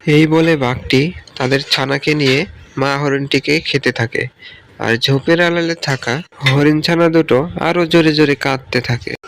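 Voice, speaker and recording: A man's voice: on the high side, fairly even intonation, moderate pace, fairly bright tone, slight background noise. A man narrating a scripted children's animal story as the storyteller.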